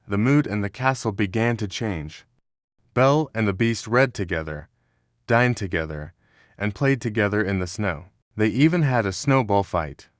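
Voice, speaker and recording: Male storybook narrator, scripted read, fairly deep, measured drama, no room sound. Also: dry recording, clean studio sound.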